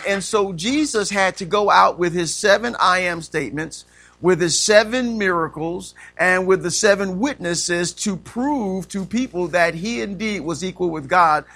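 A man's voice: sits high, moderate pace, bright, forceful tone. A man, preaching a sermon as pastor, punctuating points with "amen" and rising emphatic questions. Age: 50s.

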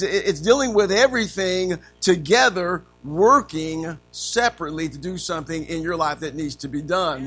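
A man teaching Sunday school in a folksy way, his voice a little raspy from allergies, dropping in amens often. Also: on the high side, a bit bright. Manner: punctuates with frequent amens, calls to the class.

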